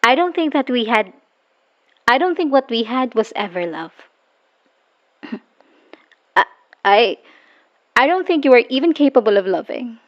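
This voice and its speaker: A woman's voice: a little high, animated. Muffled, wounded woman, acted, bitter and emotional. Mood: weary, bitter. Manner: emotional, halting, sighing, dropping into whispers. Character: wounded, bitter woman leaving her abusive, obsessive partner. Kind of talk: dramatic ASMR audio roleplay monologue, voicemail confession. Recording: dull lo-fi voice recording.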